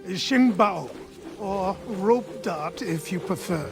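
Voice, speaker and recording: An actor playing a gruff, plain-spoken old mentor in a video game. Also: male voice, high voice, animated, takes it slowly, music in the background, exaggerated voice. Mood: instructive. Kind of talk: dramatic video game acting.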